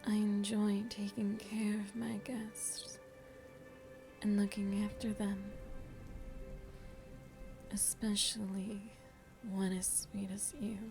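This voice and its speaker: A woman voicing a seductive, motherly vampire hostess in an ASMR roleplay, breathy and soft, with a tender, teasing manner. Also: mid-range voice. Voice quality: breathy, whispery.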